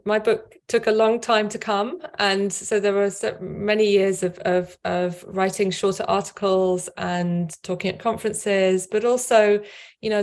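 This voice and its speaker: Middle-aged woman on a laptop video call, pretty flat delivery, thinks out loud with lots of repeats. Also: medium pitch. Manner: thoughtful, repeats words as she thinks. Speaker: author and scholar. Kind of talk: casual panel talk. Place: indoor home office.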